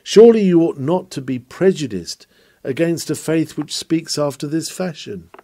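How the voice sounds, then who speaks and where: A man's voice: fairly high pitch, animated, moderate pace, warm tone. A man reading aloud an old sermon in a declamatory preaching style, pushing up hard on exclamations and questions. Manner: declamatory, rising emphasis on exclamations. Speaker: reader of a sermon.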